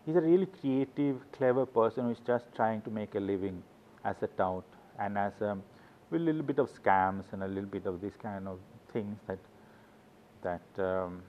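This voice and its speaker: A man's voice: on the low side, slight background noise. Middle-aged guy talking english, author telling rambling stories, keeps restarting, kinda muffled lo-fi audio. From Sweden. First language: Swedish. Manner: rambling, anecdotal, halting restarts. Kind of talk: casual interview talk.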